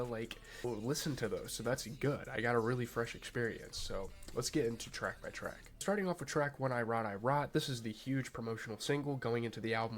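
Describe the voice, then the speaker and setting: A young man hosts a casual album review with a congested, nasal voice. He talks loosely and eagerly, stacking fillers and stumbling restarts, his pitch sitting in an ordinary middle range. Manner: loose and excited, many fillers and repeated starts. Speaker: music reviewer, channel host.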